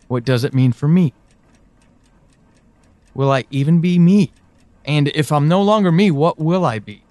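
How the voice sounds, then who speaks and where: An actor playing an anguished, pleading, devoted man in an audio drama monologue, speaking haltingly and emotionally. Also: on the high side, darkish tone, no echo, close to an everyday voice. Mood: anxious, fearful. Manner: halting, hesitant, emotional, with sighs and pauses.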